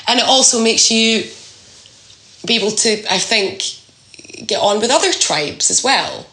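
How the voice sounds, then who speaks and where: A woman talking reflectively and casually as an interview guest, halting and thoughtful as she searches for words. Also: fairly high pitch, expressive, pushed and bright, echoey room. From Scotland. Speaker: podcast guest sharing a personal story.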